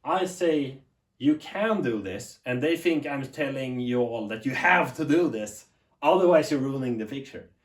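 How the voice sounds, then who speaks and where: A man's voice: mid-range voice, expressive, a little slow. A young man from Sweden with a Swedish accent, talking unscripted to camera in a rambling, earnest way that often corrects itself. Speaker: tech YouTuber. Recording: echoey room.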